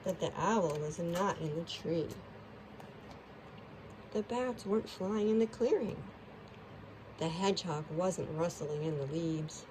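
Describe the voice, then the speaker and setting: A woman narrates a children's storybook with expression. Her pace is very slow and her pitch a little low. The recording carries clear background noise. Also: a little mellow, poor audio.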